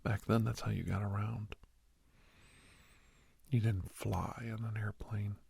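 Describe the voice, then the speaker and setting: A man acts out a kindly older doctor in a gentle ASMR audio drama. His hushed voice is low, crackling with fry and heavy with breath. He rambles softly like a fond storyteller, reassuring his young listener. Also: fairly even intonation, slow pace, no echo, heavily acted. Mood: nostalgic. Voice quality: husky, breathy, gravelly whisper-talk. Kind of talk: soft whispered ASMR roleplay monologue.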